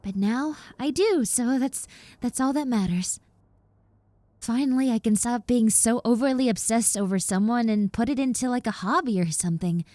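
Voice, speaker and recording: A woman's voice: on the high side, normal speed, warm tone, no room sound, clean studio sound, close to an everyday voice. A woman playing a shy, rambling young roommate, a reformed obsessive, in an intimate audio roleplay with hesitant, stammering delivery. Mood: gently eager. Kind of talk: roleplay audio acting, intimate one-sided conversation.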